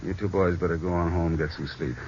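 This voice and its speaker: An actor playing a firm, commanding police chief in a 1940s radio drama. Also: male voice, deep voice, fairly even intonation, fast, a little mellow, slight background noise, natural voice. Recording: dull lo-fi 1940s radio recording.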